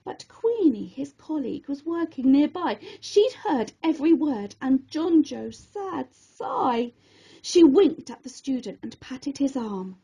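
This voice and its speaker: High-voiced, animated woman reading a bedtime story. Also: slow pace, highs cut off. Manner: expressive, theatrical. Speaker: storybook reader.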